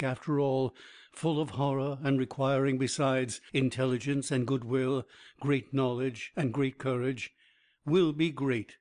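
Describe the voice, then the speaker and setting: A man reading a biography aloud as an audiobook narrator, in an even, measured way. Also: mid-range voice.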